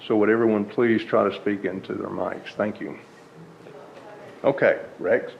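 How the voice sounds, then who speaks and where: A loud-voiced man runs the meeting and moves it along briskly. His lowish voice has a gravelly edge, picked up on a dull mic. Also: fairly even intonation, echoey room, slight background noise. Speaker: meeting chair.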